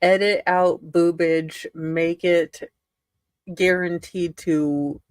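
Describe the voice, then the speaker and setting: A husky voice in casual livestream chat, talking as a guest. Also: medium pitch, fairly even intonation, drawn-out words, no room sound.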